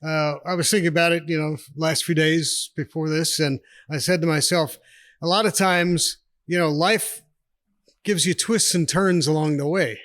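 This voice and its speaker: A man's voice: a little high, steady tone, normal speed, fairly bright tone, dry recording. A man in his sixties from New York State, a retired army officer telling stories as a podcast guest in a chatty, rambling way, laughing often. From New York State, USA. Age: 60s. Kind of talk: casual storytelling interview.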